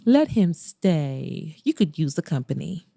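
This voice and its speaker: A woman's voice: low voice, big pitch swings, unhurried, vocal fry, warm tone, breathy edge, no echo, clean studio sound, heavily acted. A woman voicing a meddling, teasing female friend with a playful manner in a romance audiobook. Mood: teasing, coaxing. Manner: playful, nudging.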